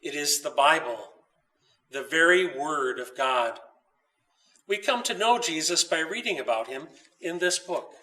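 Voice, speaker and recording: A man plays a wise bishop saint living in a painted icon. He speaks in solemn, teacherly declarations, with a bright, forceful, raised voice, slightly off the microphone. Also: on the high side, animated, measured pace, recorded from a distance, poor audio. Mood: teaching. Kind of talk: storybook character voice.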